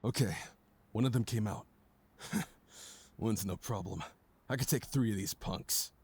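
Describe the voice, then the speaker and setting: A man playing a hot-headed but loyal high school boy, blunt and excitable, in an audio drama. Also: a little slow, husky. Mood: brash, threatening.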